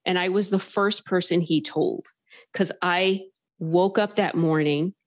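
A woman's voice: on the low side, a little slow. Chatty woman telling a rambling story. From Southern California. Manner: animated, rambling, heavy use of filler words. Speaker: caller sharing a paranormal story. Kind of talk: casual storytelling on a phone-in podcast.

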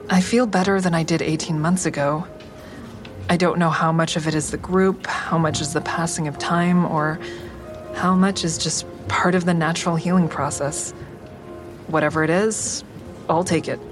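An actress as a guarded woman in a fiction podcast, speaking low over prominent music. She turns inward as she narrates, wry and understated, and keeps the same careful tone in dialogue. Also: steady tone, a little slow, pauses often, lightly acted. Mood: guarded, reflective. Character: guarded woman, a stand-up comic and crisis-line volunteer, narrating. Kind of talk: first-person audio drama narration and dialogue.

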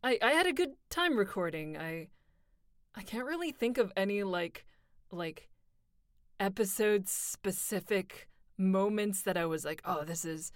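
A woman with a mid-range voice talks about her time recording in a relaxed way. She pauses and uses filler words often. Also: medium pitch. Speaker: voice actor. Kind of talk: casual chat.

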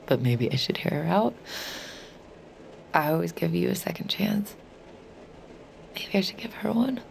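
A woman's voice: low voice, vocal fry, darkish tone, slight background noise, heavily acted. An actress playing a wary, lovesick young woman in an intimate audio drama, with a dry, sardonic and guarded manner. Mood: anxious, resigned. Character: wary, lovesick young woman with secret mind-reading power. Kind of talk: intimate audio drama acting with inner narration.

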